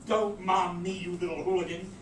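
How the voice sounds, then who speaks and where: A haughty drag queen played by a man, high in pitch, arch and theatrical, scolding like a grand mother hen. The story reading sounds distant, with clear noise over it. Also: little pitch movement, normal speed, natural voice. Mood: scornful. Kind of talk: dramatised novel reading. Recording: roomy, distant, noisy amateur recording.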